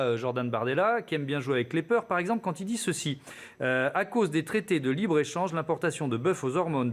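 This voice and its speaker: A man moderates a political debate in a brisk, slightly high voice. He is assertive and challenging, steering the guests and questioning their claims. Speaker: debate show host.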